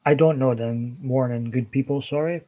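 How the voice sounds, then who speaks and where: A Scottish man hosting a casual livestream, chatting with viewers and answering their comments in a relaxed, meandering way. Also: mid-range voice, normal speed, phone-quality sound. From Scotland. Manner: chatty, relaxed, with hesitant restarts. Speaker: livestream host.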